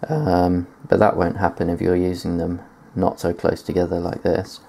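A man's voice: low voice, little pitch movement, moderate pace, creaky, mellow. A man giving a casual tech demonstration in a matter-of-fact, explanatory way, presenting gear on video indoors. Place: indoors at a desk.

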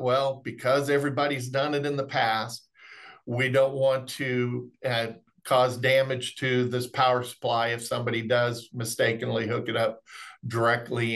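A man's voice: medium pitch, a bit bright. A middle-aged man presenting webinar technical training in a folksy, explanatory way. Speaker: remote monitoring specialist presenting product training. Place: indoors, on a video call.

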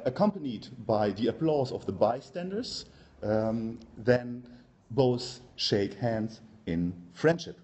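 A man in his forties with a German accent, giving an academic conference talk in a hesitant, halting manner with frequent pauses. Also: medium pitch, warm tone. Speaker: university professor, conference speaker. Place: lecture room, indoors.

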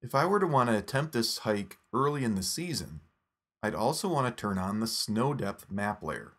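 A man narrating a scripted phone app tutorial in a steady, instructive step-by-step voice. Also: on the low side, moderate pace.

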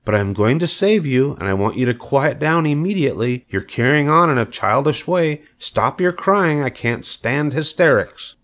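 A man playing a clever female spider in a storybook, speaking in a brisk, thoughtful, firm manner. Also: mid-range voice, animated, fairly bright tone, voice pushed up, lightly acted. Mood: brisk, resolute.

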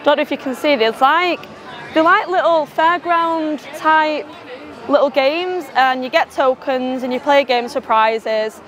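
Chatty young woman, voice going hoarse. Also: high-pitched, measured pace, a bit bright, some chatter behind. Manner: chatty, rambling, laughs often. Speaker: vlogger. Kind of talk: casual vlog chat to camera. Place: outdoor theme park, sunny. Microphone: clip-on lapel mic.

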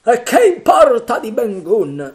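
A man voicing a rough, hot-tempered male pirate sailor who shouts in an audiobook reading. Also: very high-pitched, wildly swooping pitch, takes it slowly, pitched above the performer's own voice, full character voice. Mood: defiant.

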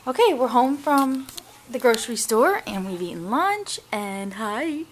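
A woman's voice: on the high side, sing-song, a little slow, slight background noise, poor audio. A woman, chatting upbeat and straight to her viewers in a casual family vlog, filmed on a handheld camera at arm's length. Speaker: family vlogger. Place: car, then a home kitchen and living room.

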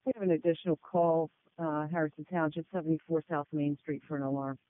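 Guy dispatcher on fire radio, talks quick, clipped, really tinny sound. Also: on the high side, level tone. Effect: two-way radio.

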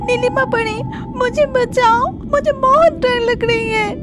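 A sweet, timid young fairy mermaid speaks in an extremely high, girlish voice. Her delivery is slow, plaintive and expressive, in children's cartoon style, with music underneath. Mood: frightened pleading.

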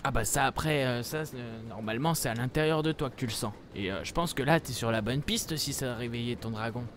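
Casting: young man, mid-pitched, halting, improvised roleplay chat, light vehicle noise behind. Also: fairly bright tone. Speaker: livestreamer playing a roleplay game.

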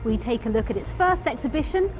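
Female reporter, scripted feature narration, lively intonation. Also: a little high, quick pace, music in the background. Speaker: TV news reporter.

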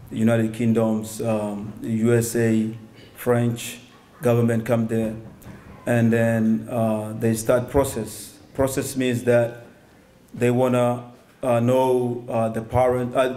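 A man's voice: medium pitch, mellow. A young man from Somalia giving a personal testimony as a guest speaker at a stand mic indoors, hesitant, with many ums and restarts. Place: synagogue hall, indoors.

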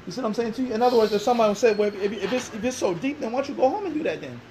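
A man's voice: high voice, lively intonation, rapid-fire, a little mellow, a little hiss or hum. A man in his thirties answering an interview in a small classroom, emphatic and full of rhetorical questions. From Philadelphia. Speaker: interviewee, Islamic teacher.

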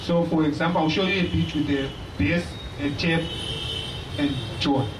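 A young man with a Zimbabwean accent, teaching an audience about drumming in a live lecture, talking plainly with many pauses. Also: a little high, steady tone. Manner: unhurried, pausing often, friendly and plain. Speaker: drummer giving a lecture-demonstration. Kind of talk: halting live teaching talk. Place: small indoor stage hall. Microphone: stand mic, recorded from a far camera. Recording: echoey hall, voice from far off, audible noise.

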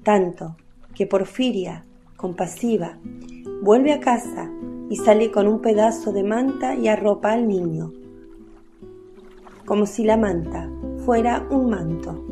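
A woman's voice: mid-range voice, slow pace, music in the background. A woman narrating a literary radio play from a script.

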